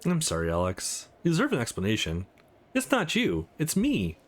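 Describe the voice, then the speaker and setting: A man's voice: medium pitch, wildly swooping pitch, moderate pace. A man voicing an earnest, guarded young woman in a visual novel, with a hesitant, confessional manner. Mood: apologetic.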